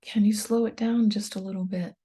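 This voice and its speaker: A woman guides a meditation in a breathy, mellow voice. Her delivery is soft and calm, staying on an even, gentle line with little rise or fall. She leaves unhurried pauses between short instructions. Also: mid-range voice. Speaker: meditation guide, tapping coach.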